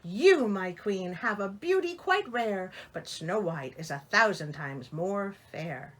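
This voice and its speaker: A woman's voice: mid-range voice, animated, slow pace. A woman voicing a truthful magic mirror from a fairy tale, speaking formally and with declamation. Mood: solemn.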